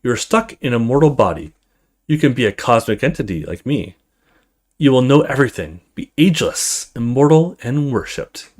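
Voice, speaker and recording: A man's voice: medium pitch, sing-song, moderate pace, darkish tone. A man voicing a demanding cosmic entity in a horror game, with a commanding, menacing manner. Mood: tempting, demanding. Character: demanding immortal cosmic entity bargaining with a mortal. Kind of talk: dramatic character reading in a game playthrough.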